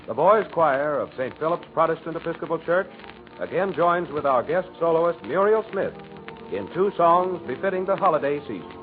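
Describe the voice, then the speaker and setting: A man's voice: a little high, animated, normal speed, long pauses. A man making a formal scripted announcement in the style of 1940s radio. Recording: tinny 1940s radio broadcast recording. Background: music.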